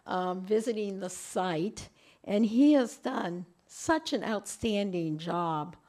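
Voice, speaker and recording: A woman's voice: mid-range voice, a little mellow. A middle-aged woman with a light voice gives a conference presentation in a room, often restarting and repeating words. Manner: halting, repeats words while speaking. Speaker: invited speaker at a webinar.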